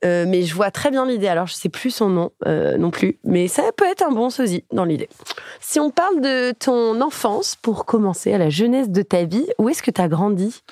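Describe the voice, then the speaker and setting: A young woman interviewing a guest in a casual, friendly way, hesitant with fillers and quick to laugh. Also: medium pitch, animated, a little mellow, dry recording, clean studio sound. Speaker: podcast interviewer.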